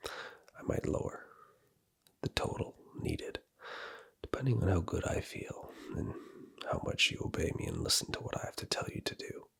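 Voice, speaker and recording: Whispering deep-voiced man giving commands. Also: very animated, normal speed, vocal fry, a bit bright, husky. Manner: commanding and teasing, giving orders and points. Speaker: ASMR roleplay creator. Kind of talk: whispered ASMR roleplay.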